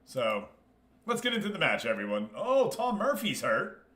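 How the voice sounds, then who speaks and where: A man's voice: on the high side, wildly swooping pitch, moderate pace, a bit bright. A man hosting a gaming livestream, chatting informally and commenting as he talks straight to his group. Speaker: livestream host running an online football management game league. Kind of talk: casual gaming stream commentary. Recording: echoey, roomy sound.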